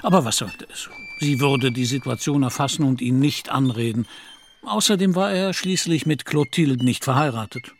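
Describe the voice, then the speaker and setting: A man narrating a literary radio play as a composed storyteller, measured and articulate. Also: medium pitch, lightly acted. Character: composed male storyteller of a Parisian novel.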